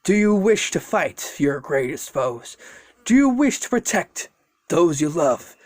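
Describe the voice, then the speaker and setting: An amateur narrator voicing an ancient male serpent spirit, speaking slowly, low and grave, in a spoken fan story. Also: very animated, darkish tone, no room sound. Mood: solemn.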